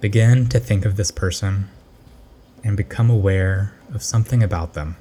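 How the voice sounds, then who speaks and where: Male meditation narrator, low register and warm dark tone, gentle and unhurried delivery, faint noise behind the voice. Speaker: meditation guide.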